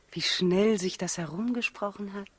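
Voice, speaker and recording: An actress in a 1960s radio play as a gentle, proud, innocent young mother, speaking in a hushed, earnest, intimate way with a breathy voice. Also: medium pitch, unhurried, no room sound. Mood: hushed wonder.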